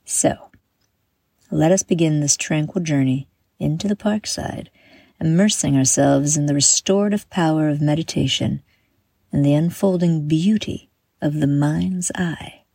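A woman guiding a meditation from a script in a soothing, measured manner, with breathy exhaled phrases. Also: low voice, dark tone, no room sound, studio quality. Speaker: meditation guide and host. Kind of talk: guided meditation, scripted narration.

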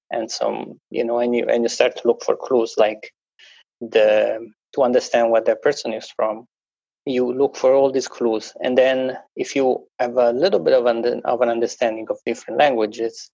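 A man's voice: medium pitch, gravelly, a little mellow, no echo. A man speaking English as a second language, talking through a podcast conversation with many restarts and fillers. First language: not English. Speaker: guest. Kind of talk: conversational interview talk. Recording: dull, dry lo-fi sound.

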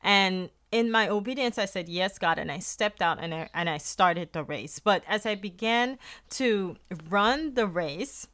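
A woman's voice: mid-range voice, lively intonation, a little slow, fairly bright tone. A middle-aged woman from Guyana with a Guyanese accent, speaking earnestly in a faith-based podcast monologue. She often repeats words as she restarts a phrase. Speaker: podcast host.

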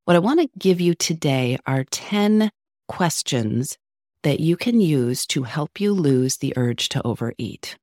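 A woman hosting an advice podcast, talking with a sore throat, a little scratchy, earnest and coaching, slowing down on key points. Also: low voice, animated, a little mellow, dry recording, clean studio sound. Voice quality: slightly scratchy. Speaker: podcast host, psychologist.

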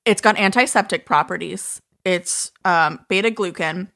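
Female podcast co-host, skincare pro, bright forward tone, chatty explainer. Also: on the low side, moderate pace, vocal fry. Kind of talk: casual podcast chat.

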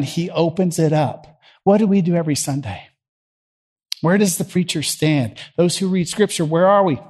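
A middle-aged man with a Southern US accent preaches from a church platform, his voice sitting fairly high for a man. He talks to the congregation the way he would in conversation, asking them questions. He swings from loud emphasis down to quiet. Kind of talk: sermon.

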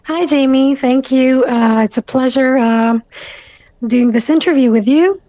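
A young woman, raised in Canada and speaking Urdu as her first language, answers interview questions with a slightly high, mellow voice. She pauses often and fills gaps with soft ums and uhs. The sound is dry and a bit muffled, like lo-fi remote audio. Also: no room sound. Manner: thoughtful, many fillers, soft laughs. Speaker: guest author.

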